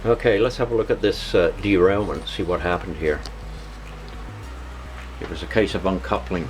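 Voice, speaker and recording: A man giving a casual, unscripted hobby vlog narration in a halting way, often repeating words. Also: sits low, soft background music, poor audio.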